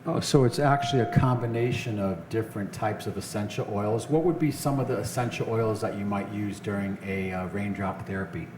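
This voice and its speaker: A man's voice: on the low side, a little fast, few pauses. A man hosting a casual interview show, chatty and joking, asking questions and chiming in. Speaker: talk show host. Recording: roomy echo, clear background noise.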